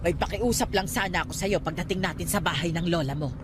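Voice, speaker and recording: A woman's voice: lowish voice, fast, background noise, natural voice. A woman playing a scolding, pious older aunt in a radio drama, nagging and reproachful. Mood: reproachful, pleading. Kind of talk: Tagalog radio drama acting.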